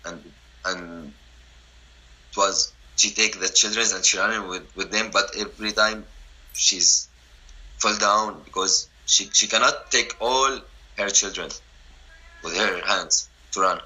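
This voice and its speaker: A man in his twenties from Syria with an Arabic accent, telling his story in halting, broken English full of restarts. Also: fairly low pitch, bright, forceful tone, low-quality recording. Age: 20s. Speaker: interviewee. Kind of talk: personal story, casual.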